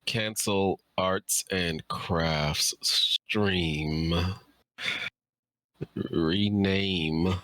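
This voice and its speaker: A man's voice: deep voice, drawn-out words, long pauses, a bit bright, no echo. A man talking casually as a guest in a livestream chat. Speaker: writer, guest.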